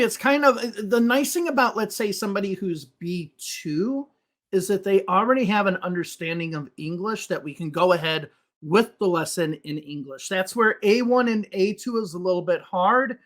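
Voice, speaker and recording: A man's voice: high-pitched, fairly bright tone. A man who teaches English, answering interview questions on a podcast in a thoughtful, explaining way. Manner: explanatory, thinks aloud with restarts.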